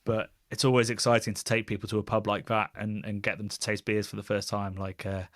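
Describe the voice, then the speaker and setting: A man's voice: fairly low pitch, little pitch movement, brisk, a bit bright, no room sound, clean studio sound. A British man hosting a casual podcast, musing and rambling in a wry way. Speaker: podcast host, beer YouTuber.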